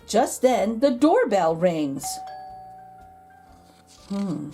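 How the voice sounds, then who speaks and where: A woman reading a children's picture book aloud in expressive narration, with deliberate dramatic emphasis. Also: mid-range voice. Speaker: children's storybook reader. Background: music.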